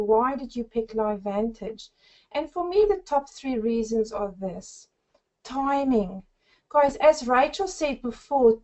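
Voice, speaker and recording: A woman in her forties from South Africa with a South African accent, giving an effusive, upbeat sales pitch on a group call. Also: a little mellow, highs cut off. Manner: effusive, upbeat, addresses listeners as friends. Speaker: network marketing presenter.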